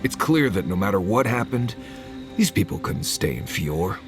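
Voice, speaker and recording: A man's voice: deep voice, big pitch swings, normal speed, over music. An actor playing a blunt, world-weary male adventuring companion in a fantasy video game, talking in a conversational way. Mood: weary, conceding. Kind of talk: naturalistic video game dialogue.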